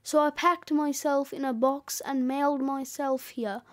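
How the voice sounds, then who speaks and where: A woman voicing a grumpy, cheeky male snowman in a children's audiobook, gruff and slangy. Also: high-pitched, fairly even intonation, a little slow, no room sound, clean studio sound. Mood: smug, brash. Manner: slangy, brash, dismissive.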